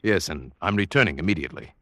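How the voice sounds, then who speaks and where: An actor playing an uneasy, brooding yachtsman who tells his seafaring story in a measured, literary old-time radio drama style. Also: sits low, lively intonation, fairly bright tone, dry recording. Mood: polite, hesitant. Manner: measured, literary, atmospheric delivery. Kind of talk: 1940s-50s radio drama acting, scripted narration.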